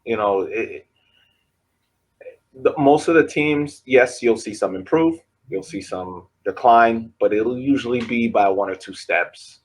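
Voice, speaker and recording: A man co-hosting a casual sports podcast, talking in halting bursts, restarting and laughing between points. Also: mid-range voice. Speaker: podcast co-host.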